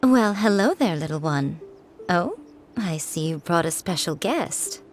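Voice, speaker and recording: A woman's voice: lowish voice, expressive, measured pace, faint music behind. A woman playing a sly, teasing, elegant fox-like shrine priestess in a video game, with coy, airy delivery. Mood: playful, sly. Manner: coy, airy, theatrically mock-hurt. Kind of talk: English video game voice acting.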